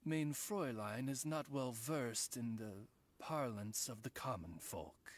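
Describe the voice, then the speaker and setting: A man's voice: mid-range voice, a little slow, a little hiss or hum, close to an everyday voice. A man voicing a dignified, eloquent familiar who serves a princess with formal, deferential speech in a video game. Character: courtly male familiar, dignified servant of a princess.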